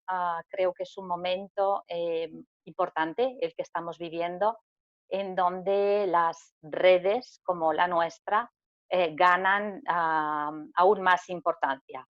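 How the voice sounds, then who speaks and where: A woman presents on a video call, speaking slowly and deliberately with lots of filler sounds between phrases. Her voice sits a little low and close, with dry, dull lo-fi call audio. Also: steady tone, no echo. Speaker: guest speaker, network secretary general. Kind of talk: formal presentation at a video meeting.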